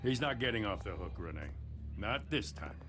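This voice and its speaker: A man's voice: lowish voice, big pitch swings, moderate pace, pushed and bright. An actor playing a principled male police lieutenant, firm and matter-of-fact, in a dramatic game. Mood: resolute.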